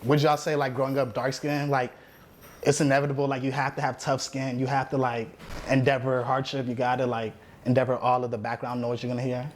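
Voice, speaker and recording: A man with a medium-pitched voice hosts a casual discussion. He puts informal, loosely worded questions to the group, with faint noise in the recording. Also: flat delivery, a little fast. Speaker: podcast host.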